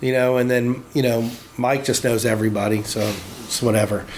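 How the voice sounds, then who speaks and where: A man in his forties shoots the breeze in a middle-pitched voice. He rambles, restarts sentences and swears freely. A faint noise runs underneath. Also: fairly even intonation, fairly quick, low-quality recording. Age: 40s. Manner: rambling, profane, many restarts. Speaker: tattoo shop worker. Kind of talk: casual chat.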